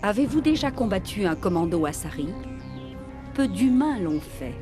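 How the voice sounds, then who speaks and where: Casting: woman playing imperious game matriarch, mid-pitched, grand solemn delivery, music behind. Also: very animated, normal speed, lightly acted. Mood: cold, imperious. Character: proud, imperious alien matriarch, cold and fervent. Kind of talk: dubbed video game dialogue.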